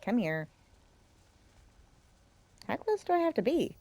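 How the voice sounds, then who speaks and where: A woman's voice: fairly high pitch, very animated, takes it slowly, dark tone. A woman giving casual, reactive let's play commentary, often muttering to herself as a game streamer. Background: game music.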